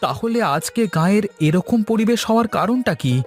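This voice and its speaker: A man's voice: high voice, expressive, fairly quick, soft background music. A man voicing a bold, indignant young village man who speaks urgently and emphatically in a cartoon moral story. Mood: shocked.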